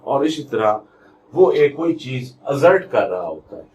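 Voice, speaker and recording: Slow-paced Pakistani man teaching law. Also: medium pitch, big pitch swings, darkish tone, slight background noise. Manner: didactic, reads definitions out word by word then explains. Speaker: law lecturer. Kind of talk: explanatory law lecture mixing Urdu and English.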